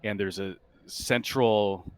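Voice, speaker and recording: A man with a lowish voice runs a tabletop roleplaying game. He describes the setting off the cuff, pausing and restarting as he finds the words. His style is casual and conversational. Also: fairly even intonation, smooth, no room sound. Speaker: game master. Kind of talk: improvised narration of a tabletop sci-fi horror game.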